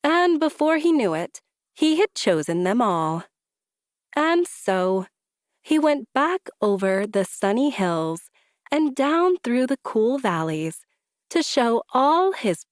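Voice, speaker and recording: A woman reading a children's story aloud with deliberate, rhythmic, emphatic phrasing. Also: medium pitch, big pitch swings, dry recording, clean studio sound. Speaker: storybook reader. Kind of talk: expressive children's story narration.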